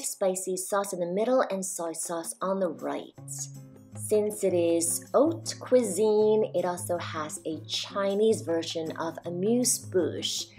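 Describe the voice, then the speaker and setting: A woman calmly narrating a restaurant food review as a voice-over, describing each dish in a measured way. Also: fairly low pitch. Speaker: food vlogger.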